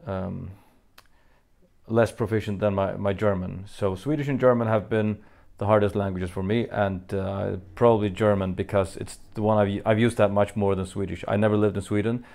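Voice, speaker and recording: Low gravelly Faroese man answering fans. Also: fairly even intonation, a little mellow. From Faroe Islands. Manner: halting, many fillers and restarts, reads questions aloud. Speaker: musician answering fan questions. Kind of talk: casual Q&A monologue.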